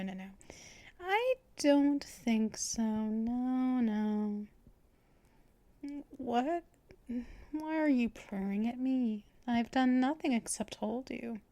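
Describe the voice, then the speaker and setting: A possessive, teasing female vampire mistress, played by a woman in an ASMR roleplay. She talks very slowly in breathy half-whispers, close to the microphone, with a fairly high voice and a dry, close sound. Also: darkish tone, dry recording. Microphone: close microphone for headphone listening.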